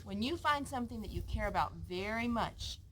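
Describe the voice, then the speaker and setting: A woman voicing a patient, affectionate father in a children's storybook, gently explaining things. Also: medium pitch, animated, a little fast, slight background noise, close to an everyday voice, poor audio. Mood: tender, wise. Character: tender, patient father of a young girl.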